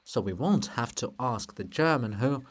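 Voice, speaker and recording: Seeking a male reader for a bombastic monument of a bearded historian in a stage farce: scornful, emphatic, very animated pitch. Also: normal speed, close to an everyday voice. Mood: solemn.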